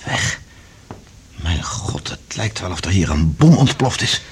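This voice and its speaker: A man's voice: low voice, very animated, a little slow. A male actor playing a concerned, decisive man asking serious questions in a science fiction radio play. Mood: probing, astonished. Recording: faint background noise and ambience.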